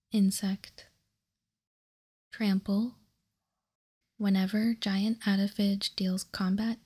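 Slow, breathy whispering woman. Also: medium pitch. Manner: unhurried, soft, measured. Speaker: host of a card-game ASMR show. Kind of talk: whispered ASMR, reading and commenting on cards.

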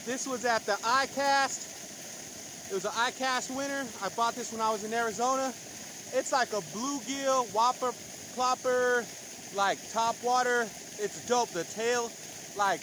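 A high-voiced man in his thirties talks straight into a phone held at arm's length, outdoors by the water. His talk is loose and slangy, hyped up, with whoops after catches. The recording has a steady layer of outdoor noise behind him. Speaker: fishing vlogger. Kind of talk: casual outdoor vlog commentary. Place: outdoors by a pond and river. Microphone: phone camera at arm's length.

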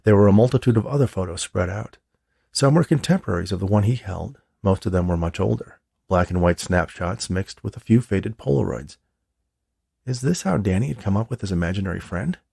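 Expressive gravelly male audiobook narrator. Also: fairly low pitch, brisk, no room sound, clean studio sound. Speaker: author reading own novel.

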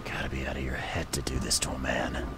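An actor playing a grim, world-weary private detective in a horror video game, gravelly, terse and brooding. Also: male voice, extremely low pitch, completely flat delivery, fast, loud music behind the voice.

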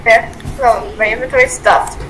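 Young female co-host, high voice, casual gameplay chatter, noisy home recording. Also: fairly even intonation, brisk. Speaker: gaming video co-host. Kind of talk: casual chat during gameplay roleplay.

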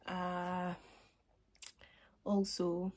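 Husky-voiced woman vlogging. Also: on the low side, monotone, very slow, a little mellow. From Ghana. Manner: chatty, drops to a whisper at times, laughs between points. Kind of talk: casual vlog to camera. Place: small bedroom, indoors. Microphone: phone camera on a table.

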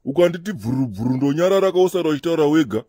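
A man's voice: on the high side, animated, racing, warm tone, husky, no echo, clean studio sound. A man voicing an insolent Zimbabwean street hustler, cocky, slangy and argumentative, in a comedy cartoon. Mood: defiant, cocky.

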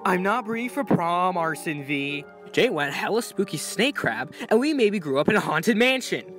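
A man voicing an earnest, worried young male robot, boyish and anxious, in an animated fan dub. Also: sits high, normal speed, faint music behind.

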